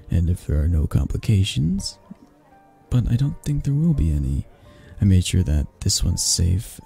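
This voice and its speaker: A man playing a possessive, flirtatious mafia boss in a romantic audio roleplay, husky and gravelly, speaking with intimate condescension and long pauses. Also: deep voice, dark tone, exaggerated voice. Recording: music behind the voice. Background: background music.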